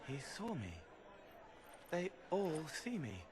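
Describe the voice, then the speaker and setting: An actor playing a haunted, childlike young man, a spirit turned human, in a video game, speaking in a halting, earnest, plaintive way. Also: fairly high pitch, huge pitch swings, a crowd in the background, full character voice. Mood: wistful, troubled. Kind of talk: video game cutscene acting.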